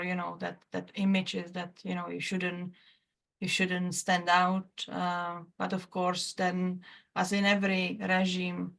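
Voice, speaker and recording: Czech-accented woman, low gravelly voice. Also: fairly bright tone. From former Czechoslovakia. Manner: halting, many restarts and fillers, non-native grammar. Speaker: guest, trauma therapist. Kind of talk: casual interview talk.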